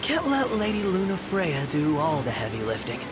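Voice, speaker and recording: An actor playing an excitable, chatty young man in a video game, eager and informal. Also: a little high, moderate pace. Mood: curious, chatty. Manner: eager, informal, wide-eyed. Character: boyish, excitable, chatty young travelling companion. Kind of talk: video game cutscene acting. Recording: voice over loud game music.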